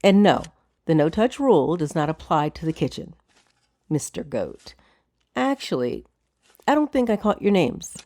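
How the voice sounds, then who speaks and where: A woman's voice: sits low, very animated, normal speed, long pauses, mellow, no echo. An actress playing a poised, wry noblewoman of a wizard's tower in a fantasy audio drama. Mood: wry, amused. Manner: dry, amused, composed.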